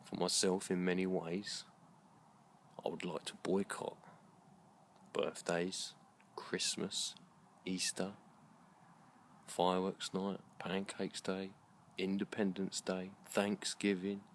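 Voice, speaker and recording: British guy, middle aged, really deep voice, thinking out loud slowly and earnestly in short halting bits, some background noise. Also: level tone. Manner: earnest, deliberate, halting phrasing. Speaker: video blogger. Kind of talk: slow reflective monologue to camera.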